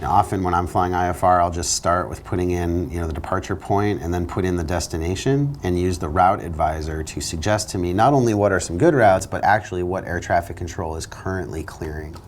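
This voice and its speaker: A man with a notably low voice teaches as a flight instructor. He explains procedures in a spoken lesson style. There is slight background noise on the recording. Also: fairly quick, few pauses.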